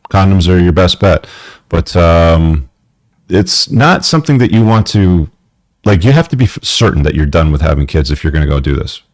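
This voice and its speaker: A man's voice: deep voice, darkish tone, no room sound, studio quality. A man hosting a live call-in advice show, giving blunt, casual advice with frequent ums while wearing headphones. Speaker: podcast host.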